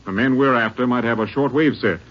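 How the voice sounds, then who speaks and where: A man's voice: mid-range voice, fairly quick, close to an everyday voice. Casting: male actor as businesslike commanding captain, medium register, 1950s radio drama, muffled period sound. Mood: businesslike, concerned. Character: businesslike, protective police captain, a man. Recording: dull, muffled old radio recording.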